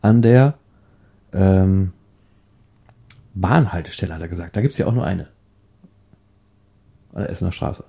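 Deep, dark gravelly man chatting. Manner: halting, repeats words while searching. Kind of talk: casual, rambling chat.

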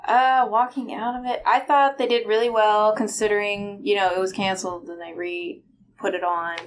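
A woman talks casually as a guest on a podcast. Her voice sits at a middle pitch and her delivery is informal and conversational. There is a little noise behind her. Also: steady tone, moderate pace. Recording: noticeable background noise.

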